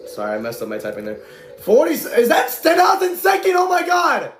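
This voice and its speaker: A man calling a stock car race live as an amateur commentator. He talks excitably, often shouts, and his voice is going hoarse from the strain. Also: very high voice, brisk, roomy sound, over music.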